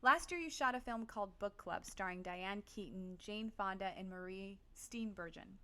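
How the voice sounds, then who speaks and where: A woman's voice: mid-range voice, moderate pace, sharp tone. A woman co-hosting an interview, asking direct, curious questions. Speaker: interview co-host.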